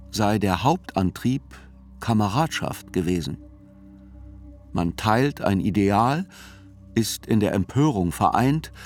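An actor playing a sober, reflective male reporter who narrates a radio drama in literary reportage style. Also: on the low side.

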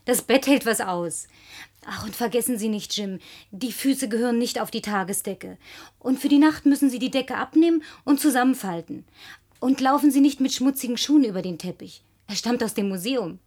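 A woman's voice: a little high, normal speed, darkish tone, dry recording. An actress dubbing a lonely young woman who fussily orders her male guest around. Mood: fussy, bossy. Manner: prim, commanding, teasing. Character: lonely young woman, bossy fussy hostess. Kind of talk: German film dub.

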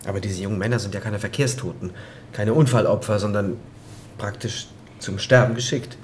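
An actor playing a thoughtful, conscientious male prosecutor in a radio drama, with a measured, introspective manner. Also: on the low side, steady tone, slight background noise, lightly acted. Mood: probing, troubled. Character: pensive, conscientious male public prosecutor narrating his investigation. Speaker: lead actor and first-person narrator. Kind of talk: radio drama narration and dialogue.

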